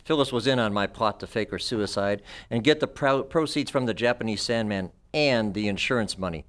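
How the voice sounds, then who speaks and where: A man's voice: lowish voice, brisk, fairly bright tone, close to an everyday voice. An older man playing a threatening, murderous doctor, a cold schemer, in an old-time radio drama read on stage. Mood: smug, cold. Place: on a stage, live performance. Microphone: microphone on a stand.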